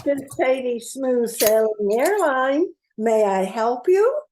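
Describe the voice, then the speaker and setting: A woman playing a dutiful airline receptionist in a radio play, with a deliberate, singsong delivery. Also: on the high side, expressive, a little mellow, reverberant. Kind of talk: old-time radio play acting.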